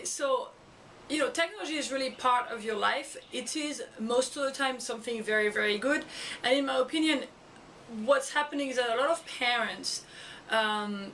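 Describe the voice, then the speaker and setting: A woman with a French accent talks to her camera in a loose, halting way, full of fillers and restarts. Her voice sits a little high. She is a few steps back from the camera mic, with a faint hiss behind her. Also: a bit bright, far from the mic, low-quality recording. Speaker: school educator and video blogger. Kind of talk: casual vlog talk to camera. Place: outdoors or home setting in Florida.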